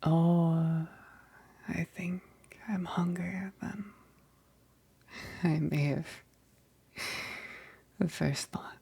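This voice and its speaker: A woman playing a hungry, seductive vampire best friend in an intimate ASMR roleplay, mostly whispering in a slow, teasing way, close to the mic. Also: lowish voice, fairly even intonation, creaky, dark tone, slightly rough, exaggerated voice. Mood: hungry, reminiscing. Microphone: close, dry microphone.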